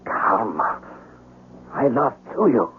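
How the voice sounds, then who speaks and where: An actor playing a lovesick, proud young samurai with earnest, formal, dramatic delivery in a 1970s radio drama. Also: male voice, medium pitch, big pitch swings, very slow. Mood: anguished, pleading. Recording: muffled, hissy old radio broadcast.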